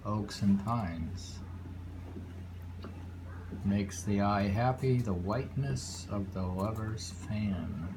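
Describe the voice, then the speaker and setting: Male poetry reader, unhurried and musing, casual asides between haiku, audible noise on a homemade recording. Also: on the low side. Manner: deliberate, pausing, musing between poems.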